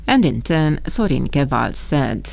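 A woman radio reporter delivers a scripted report. Her voice sits low and carries a noticeable gravelly fry. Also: sing-song, measured pace, darkish tone, no room sound. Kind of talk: scripted news report narration.

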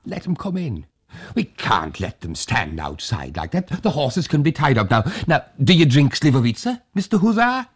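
A man voicing a fussy, anxious old village grandfather who is pleading and given to loud, excitable bursts. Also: medium pitch, moderate pace, breathy edge, voice pushed up. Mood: eager. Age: older.

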